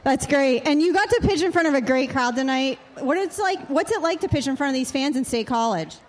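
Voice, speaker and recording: Very high-voiced upbeat woman reporter. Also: expressive, fairly quick. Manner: upbeat, chatty questions. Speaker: sideline reporter. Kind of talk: postgame interview.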